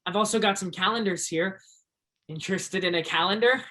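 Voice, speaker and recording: An actor in a stage play playing an easygoing, obliging young roadhouse souvenir seller with a friendly, agreeable manner. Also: male voice, sits high, brisk, sharp tone. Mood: friendly, casual.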